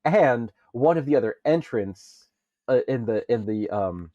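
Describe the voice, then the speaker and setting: A man on a podcast panel explaining things in casual chat, in a halting way. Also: mid-range voice, animated, mellow, no echo, muffled recording.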